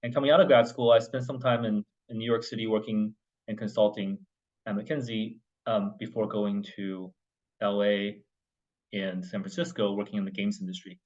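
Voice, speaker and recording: A man in his forties from Oklahoma speaks as a panelist on a video call. His voice is low and the call audio sounds dull. He talks in a relaxed, thoughtful way with small restarts. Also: normal speed. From United States (Oklahoma).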